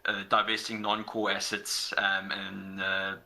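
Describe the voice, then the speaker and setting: Man giving measured market analysis. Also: low-pitched, completely flat delivery, gravelly, pushed and bright. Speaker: investment analyst guest. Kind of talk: business TV interview.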